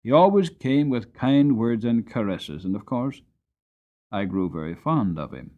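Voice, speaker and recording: Expressive male storybook narrator, animated pitch. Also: measured pace, narrow, tinny audio. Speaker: audiobook narrator. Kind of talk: dramatic storybook narration in first person.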